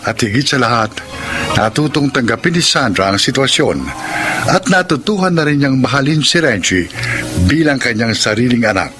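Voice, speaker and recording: A man hosting a radio drama, delivering scripted dramatic narration and closing remarks. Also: medium pitch. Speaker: program host and narrator. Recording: music playing behind the voice.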